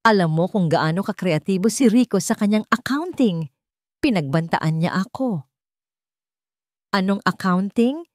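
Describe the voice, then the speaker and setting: A frightened male accomplice acted out by a woman narrator speaks urgently and defensively in an audio drama. The voice is lifted and animated, in a dry recording. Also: fairly low pitch, no room sound. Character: frightened male accomplice confessing and accusing on tape.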